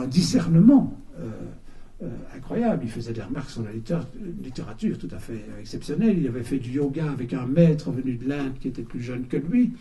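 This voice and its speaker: An older man giving a talk at a book launch in a bookshop, hesitant, repeating words and pausing with many fillers, recorded from a distance. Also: mid-range voice, vocal fry, dark tone. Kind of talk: halting talk to a small audience. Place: bookshop, indoors. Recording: distant mic in a room.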